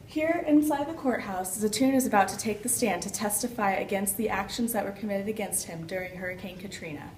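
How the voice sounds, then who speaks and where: A woman's voice: mid-range voice, brisk, hardly any pauses, close to an everyday voice. A woman playing a live TV field reporter, delivering a scripted amateur news report. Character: female live field reporter outside courthouse. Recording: clear ambient noise, vehicle sounds.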